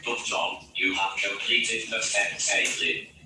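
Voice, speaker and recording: A man's voice: fairly low pitch, little pitch movement, a little slow, pushed and bright, a little hiss or hum. A synthetic male voice as a robot assistant, giving stiff, scripted instructions. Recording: very echoey, distant playback with music.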